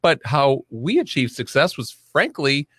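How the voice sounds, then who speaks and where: A man's voice: medium pitch, big pitch swings, unhurried, no echo, clean studio sound. A man who founded a vodka brand, telling its start story on a podcast with wry asides. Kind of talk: casual podcast chat.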